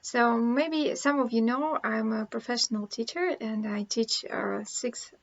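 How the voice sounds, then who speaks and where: A woman's voice: on the high side, unhurried, dull audio. A woman from Russia with a Russian accent, a language teacher chatting casually to camera, hesitant with many fillers and restarts.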